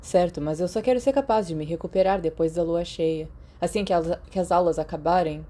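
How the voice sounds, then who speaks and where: A Brazilian woman narrator voicing a polite, modest, hesitant teenage boy in an audiobook, soft-spoken and tentative. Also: fairly low pitch, fairly quick, natural voice. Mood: shy, earnest. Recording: clearly noisy background.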